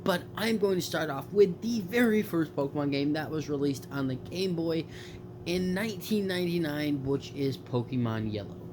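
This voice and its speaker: A man's voice: fairly high pitch, moderate pace, background noise. Rambling stuffed-up young man, late-night gaming chat. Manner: rambling, lots of fillers and self-corrections. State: stuffed up from allergies. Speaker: gaming YouTuber.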